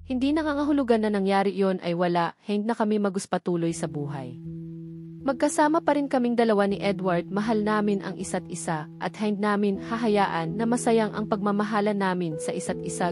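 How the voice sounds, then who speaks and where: A woman's voice: mid-range voice, natural voice. A woman with a Filipino accent voicing an earnest, hurt young woman confiding at length in an audiobook story. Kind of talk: audiobook dialogue. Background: background music.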